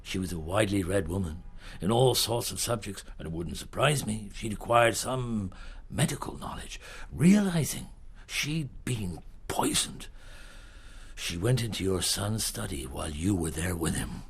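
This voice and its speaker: An actor in a radio drama playing a shrewd, relentless middle-aged detective chief, questioning deliberately with pauses in a husky, gravelly voice. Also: male voice, lowish voice, lively intonation, exaggerated voice. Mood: accusing, measured.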